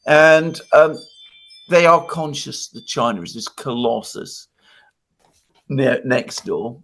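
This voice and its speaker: Animated Greek-born man, halting restarts. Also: mid-range voice. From Greece. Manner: animated, halting restarts, laughs between points. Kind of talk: casual analytical discussion.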